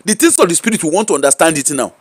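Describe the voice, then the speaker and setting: Nigerian male commentator, high bouncy voice, teasing and rhetorical. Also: racing, no room sound. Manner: animated, mocking, rhetorical questions. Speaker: social media commentator. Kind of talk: casual commentary in pidgin. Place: UK-based.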